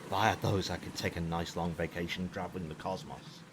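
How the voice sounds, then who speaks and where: An actor playing an unhinged, gleeful space smuggler in an audio drama, with a theatrical, oily, volatile delivery. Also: male voice, sits low, fairly even intonation, brisk, background noise. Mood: sly, eager. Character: unhinged gleeful smuggler bounty hunter, a crooked father.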